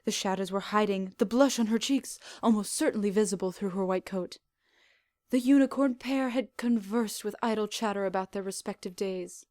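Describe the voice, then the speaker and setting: A woman's voice: medium pitch, moderate pace, darkish tone, no echo. Woman narrating a story, very dry sound. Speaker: fan fiction reader. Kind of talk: expressive scripted narration.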